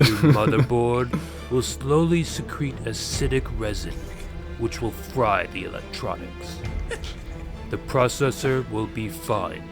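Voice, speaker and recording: A man's voice: medium pitch, takes it slowly, vocal fry, over music. A man voicing a smug online hacker who delivers theatrical threats, fighting back laughter. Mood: menacing.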